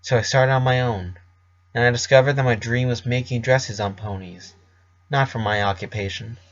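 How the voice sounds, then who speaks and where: A man voicing a worried, caring female pony dress designer in a storybook reading, with a gentle, earnest, halting manner. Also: medium pitch, fairly even intonation, highs cut off, close to an everyday voice. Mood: gentle, reassuring.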